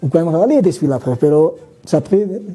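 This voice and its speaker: Dark husky man thinking aloud. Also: fairly high pitch, animated, moderate pace. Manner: thinking aloud, many restarts and "¿no?" tags. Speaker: guest speaker. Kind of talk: conversational explanation, mixing Spanish and Aymara.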